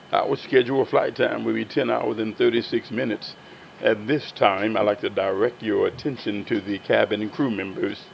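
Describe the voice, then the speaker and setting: Muffled plane PA, male flight attendant w a gravelly voice doing formal cabin announcements. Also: fairly low pitch. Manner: formal, practised phrasing. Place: aircraft cabin. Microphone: cabin PA handset. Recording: muffled cabin PA, aircraft noise.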